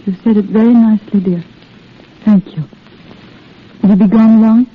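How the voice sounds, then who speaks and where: An actress playing a tender, anxious young wartime nurse in love, in a 1930s radio drama. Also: female voice, medium pitch, fairly even intonation, moderate pace, husky, lightly acted. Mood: tender, worried. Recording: tinny, crackly old radio recording.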